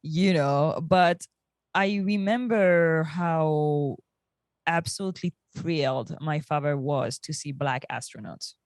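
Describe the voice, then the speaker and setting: Slow-spoken woman, reflective. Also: low voice, a little mellow, no room sound. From France. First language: French. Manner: measured, pausing, reflective. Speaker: podcast co-host. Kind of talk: casual, reflective chat.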